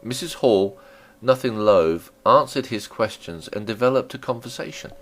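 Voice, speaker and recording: A man's voice: medium pitch, animated, normal speed. A man reading a classic story aloud as a dramatic audiobook narrator.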